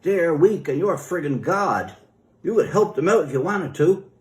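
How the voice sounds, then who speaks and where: A man's voice: fairly high pitch, lively intonation, moderate pace, close to an everyday voice. A man reading a story as an earnest, indignant man who pleads and argues. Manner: earnest, argumentative. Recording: roomy echo.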